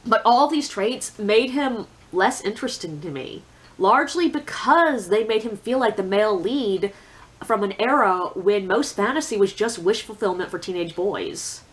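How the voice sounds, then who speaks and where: A woman's voice: mid-range voice, lively intonation, moderate pace. A woman giving a casual, opinionated book review in a blunt, sardonic, conversational way with emphatic asides.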